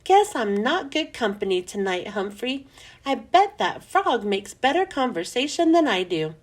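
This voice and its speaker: A woman's voice: a little high, very animated, unhurried. A woman voicing a friendly young male janitor, casual and earnest, in a children's storybook reading. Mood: chummy, earnest. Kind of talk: children's storybook read-aloud.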